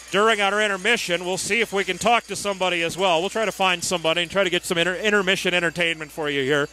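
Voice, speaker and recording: A man calling live hockey play-by-play as a radio announcer, in clipped running phrases with crisply enunciated names. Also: high voice, pushed and bright, rough recording. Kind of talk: live sports play-by-play commentary.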